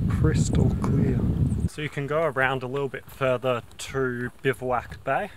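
A man's voice: mid-range voice, noisy background. Young man vlogging on a hike. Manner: relaxed, enthusiastic about scenery. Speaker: hiking vlogger. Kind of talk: casual vlog narration. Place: outdoors on a bush trail and beach. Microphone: handheld camera at arm's length.

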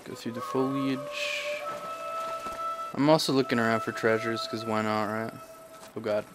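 A man's voice: medium pitch, fairly even intonation, measured pace, over loud music. A man casually analyzing a video game as he plays, on a livestream, chatty and digressive, thinking aloud. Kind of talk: casual commentary over gameplay. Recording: noisy game stream.

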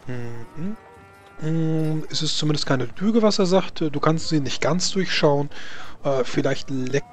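A man's voice: on the high side, lively intonation, a little slow. A man casually running a tabletop game as game master, explaining rolls and narrating outcomes.

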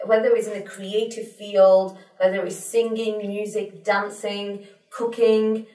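A woman's voice: medium pitch, little pitch movement, a little slow, mellow. Chatty young woman, roomy studio sound. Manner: fluent, chatty, peppered with you know. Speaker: guest, teacher. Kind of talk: studio interview. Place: small studio in front of a pink backdrop. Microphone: desk mic on a stand. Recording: roomy sound from a distant mic.

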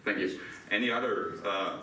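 A man's voice: fairly low pitch, fairly even intonation, normal speed, pushed and bright. A middle-aged man from Austria with a German accent, giving an interactive conference talk and questioning his audience. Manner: asks the audience questions, laughs lightly. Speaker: conference speaker. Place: dim hotel conference room, small audience. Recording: echoey room from far off, faint hiss.

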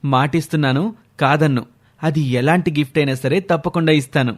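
A man's voice: fairly high pitch, fairly even intonation, a little fast, natural voice. Man acting an eager, loving young husband. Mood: delighted. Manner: affectionate, eager.

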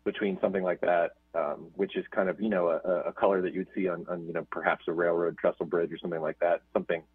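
Male board chair, very low voice, dry close sound, halting. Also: flat delivery, husky, dry recording. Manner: rambling, repeats words. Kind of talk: chairing a public meeting. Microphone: video call. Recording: tinny phone-like call audio.

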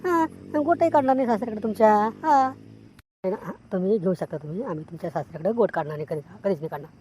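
A woman's voice: mid-range voice, animated, very fast, darkish tone, breathy edge. A woman voicing a coaxing, nosy village man in an amateur cartoon comedy, insistent and repetitive, with a rural Maharashtrian accent. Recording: noticeable background noise.

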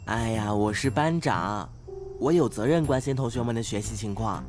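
An actor playing an earnest, oblivious teenage class monitor who talks in a preachy, naive way in an audio drama. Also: male voice, lowish voice, normal speed, darkish tone, faint music behind, natural voice. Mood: earnest lecturing. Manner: preachy, matter-of-fact, naive. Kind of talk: modern audio drama acting.

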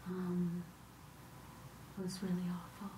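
Middle-aged woman, emotional testimony, fairly even pitch, roomy and noisy recording. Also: slow pace. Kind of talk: slow emotional personal recollection. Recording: echoey, distant mic with noisy rumble.

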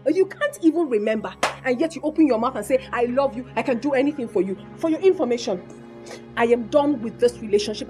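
A woman's voice: sits high, darkish tone. A woman with a Nigerian accent playing a haughty, materialistic young girlfriend in a comedic melodrama, blunt, emphatic and scolding.

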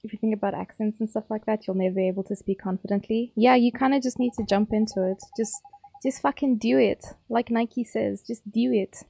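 A woman's voice: on the high side, steady tone, a little fast, vocal fry, warm tone, dry recording. A young woman with a South African accent, chatting casually and teasingly with her viewers while streaming a game. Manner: chatty, playful, teasing chat.

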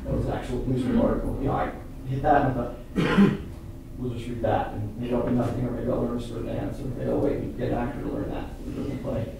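Echoey, distant man explaining haltingly. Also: mid-range voice, expressive, vocal fry. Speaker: playwright and performer at a post-show talkback. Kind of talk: informal discussion with an audience. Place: indoor theatre stage, seated on the floor. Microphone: far camera microphone. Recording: distant echoey hall recording with noise.

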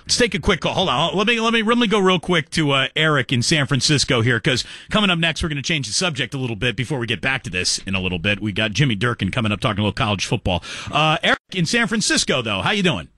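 A man's voice: mid-range voice, lively intonation, fast, bright, forceful tone, no echo, clean studio sound. A man hosting sports talk radio, commenting in a mocking, incredulous, jokey manner. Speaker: radio talk show host.

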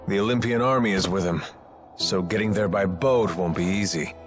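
A man voicing a weary, guarded middle-aged exile in a video game cutscene, explaining things in a measured way. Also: low voice, lightly acted. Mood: grave. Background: music.

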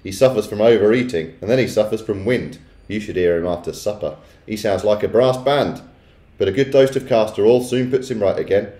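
A man voicing a large, coarse, pushy middle-aged father in a storybook, brusque, indignant and shouting. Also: lowish voice, brisk, natural voice. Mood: blustering. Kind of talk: children's storybook character voice. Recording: echoey room.

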